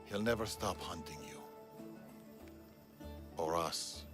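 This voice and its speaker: A man's voice: sits low, slow pace. A man playing a haunted older warrior father, grave and protective, in a dramatic video game cutscene. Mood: urgent warning. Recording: loud backing music.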